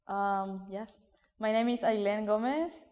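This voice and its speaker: A woman's voice: medium pitch, a little slow, a little mellow. An eighteen-year-old woman from Paraguay with a Spanish-speaking accent, casually telling her story in an interview. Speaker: interviewee, violinist. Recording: echoey hall reverb.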